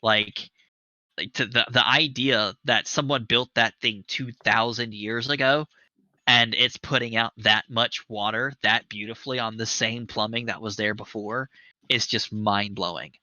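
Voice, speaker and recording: Bright, eager host rambling with enthusiasm. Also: male voice, fairly low pitch, fairly even intonation, a little slow, no room sound. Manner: enthusiastic, rambling, swears for emphasis. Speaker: show host. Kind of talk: casual chat on a livestream. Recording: dry, slightly dull webcast audio.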